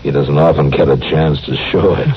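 An actor playing a laconic, easygoing frontier marshal in a 1950s radio western. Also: male voice, a bass voice, fairly even intonation, fairly quick, over loud music. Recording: noisy, muffled old radio recording.

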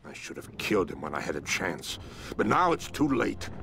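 An actor playing a grim, dying secret operative, weakened by wounds, giving a dramatic report in a game. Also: male voice, low voice, very animated, normal speed, fairly bright tone, slight background noise, exaggerated voice. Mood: grim urgency.

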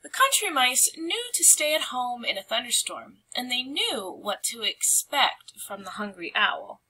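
A woman's voice: fairly high pitch, sing-song, measured pace, bright, forceful tone, slightly rough, rough recording. A woman reading a picture book aloud as a storyteller, with dramatic, emphatic phrasing.

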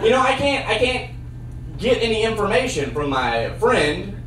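A young man doing a stand-up comedy set with a handheld mic on a theater stage, trading dry one-liners for sudden loud bursts. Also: high voice, big pitch swings, normal speed, slight background noise. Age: young adult. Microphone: handheld mic on a cord. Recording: roomy sound from a far camera.

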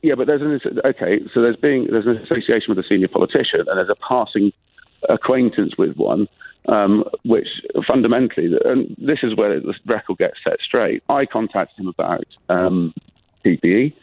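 A man's voice: lowish voice, moderate pace, vocal fry, slightly rough, no room sound. A British man calling a radio phone-in, insistently defending himself and pressing to finish his point. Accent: British English. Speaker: caller. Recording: tinny phone line.

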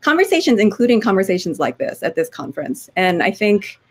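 A woman in her thirties with a scratchy, hoarse voice, speaking thoughtfully as a panelist on a video call. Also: mid-range voice, very animated, fairly quick. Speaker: panelist, oral historian. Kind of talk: conference panel remarks. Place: home office with bookshelves. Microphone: video call webcam.

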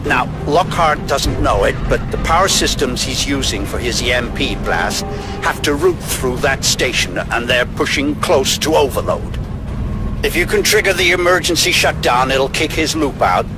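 An actor playing a commanding older male handler who briefs a soldier remotely in a deliberate, authoritative way in a video game. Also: mid-range voice, animated, music in the background, lightly acted. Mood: confident, instructive.

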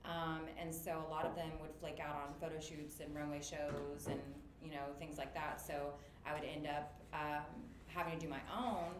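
Woman in her thirties, low voice, casual chat, roomy webcam sound, audible background noise. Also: level tone, normal speed, few pauses. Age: 30s. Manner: chatty, lots of fillers, laughs easily. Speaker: guest, makeup artist business owner. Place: indoor room, on a video call.

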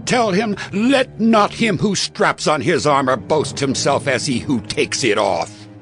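A man's voice: a little high, expressive, measured pace, fairly bright tone, soft background music. An actor playing a beleaguered king of Israel in a dramatized audio Bible, with declamatory delivery. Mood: defiant.

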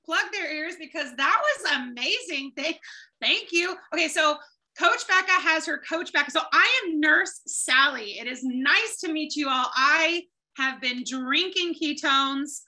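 Loud playful woman on a zoom call, high and super bright, webcam mic. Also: unhurried. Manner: brash, lively, playful. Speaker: co-host. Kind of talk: casual energetic talk. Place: home room indoors. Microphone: webcam on a video call.

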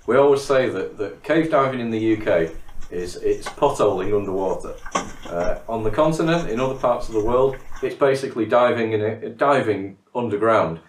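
A middle-aged cave diver talks through an interview in a lowish voice, stumbling over the start of phrases. He sits a little away from the mic in a living room that rings with echo. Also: expressive, normal speed, slight background noise. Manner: repeats words when starting phrases. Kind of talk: documentary interview. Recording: roomy, from a distance.

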